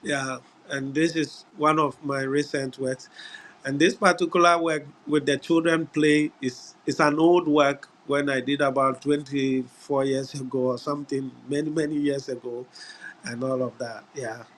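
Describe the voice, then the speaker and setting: A middle-aged man from Ghana with a Ghanaian English accent, giving an unhurried webinar talk over a video call, often pausing on "uh" and "yeah". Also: mid-range voice, level tone, a bit bright, slight background noise. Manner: unhurried, repeats words, frequent "uh" and "yeah". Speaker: guest speaker. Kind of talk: webinar presentation.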